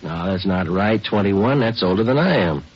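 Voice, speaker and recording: Low-voiced deadpan detective. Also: male voice, normal speed, slightly rough. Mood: patient, firm. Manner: terse, deadpan, matter-of-fact. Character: calm, patient male police detective narrating his case. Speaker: police detective and narrator. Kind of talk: 1950s radio police drama, clipped and understated. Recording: dull vintage radio recording with music.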